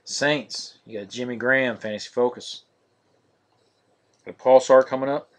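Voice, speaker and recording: Monotonous man calling out cards. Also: mid-range voice, a little slow. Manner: monotonous, steady listing of cards. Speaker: card break host. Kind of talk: live-streamed card break commentary.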